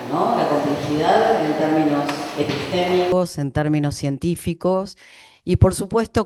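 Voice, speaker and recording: A woman's voice: low voice, darkish tone, no echo. A woman coordinating an academic symposium, introducing the speakers in a chatty, informal way with frequent fillers.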